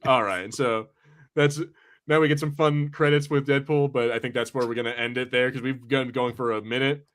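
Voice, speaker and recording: A man's voice: medium pitch, steady tone, a little fast. A man hosting a film podcast in casual banter, joking and swearing freely in an informal way. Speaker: podcast host.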